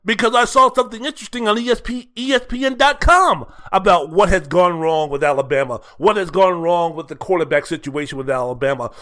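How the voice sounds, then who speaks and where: High-pitched, bright-voiced man on a sports podcast. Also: a little fast, hardly any pauses. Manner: emphatic, rhetorical questions and repeated phrases. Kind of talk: opinionated solo sports commentary.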